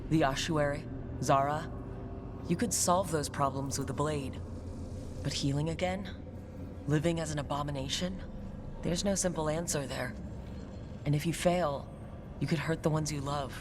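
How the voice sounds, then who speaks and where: An actor playing a calm, supportive fantasy hero in a video game, talking in a measured, earnest way. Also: mid-range voice, close to an everyday voice. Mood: calm, sympathetic. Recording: noisy game ambience behind.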